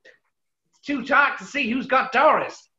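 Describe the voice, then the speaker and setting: An actor playing a blunt, sceptical male amateur sleuth in a radio comedy, brisk, terse and wry. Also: sits high, reverberant, dull audio, a loud crowd. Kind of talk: 1940s radio comedy acting.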